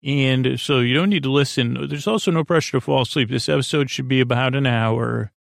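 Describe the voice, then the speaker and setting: Creaky, meandering man hosting a sleep podcast. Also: mid-range voice, a little fast, no echo, studio quality. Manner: meandering, hesitant, stop-start; soothing, sleepy. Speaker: podcast host. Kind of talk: rambling bedtime podcast monologue.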